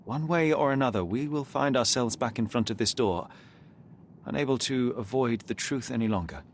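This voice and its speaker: An actor playing a young, principled and stubborn detective in a video game, speaking in a measured, formal way. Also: male voice, medium pitch, slight background noise, close to an everyday voice. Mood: resolute. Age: young adult. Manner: measured, earnest, formal speech.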